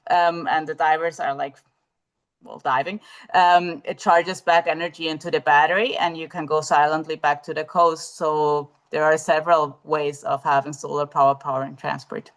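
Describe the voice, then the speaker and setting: A woman with a lowish voice answers questions in a live online webinar, picking her way through ideas with frequent pauses and false starts. She speaks over a video call. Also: little pitch movement. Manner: hesitant, many fillers and restarts. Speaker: webinar presenter.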